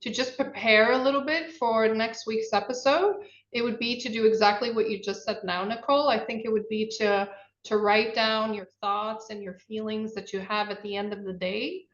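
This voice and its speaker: A woman co-hosting a casual podcast, chatty and animated, laughing easily. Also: fairly high pitch, normal speed. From Brazil. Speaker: podcast co-host. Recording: roomy, dull lo-fi sound.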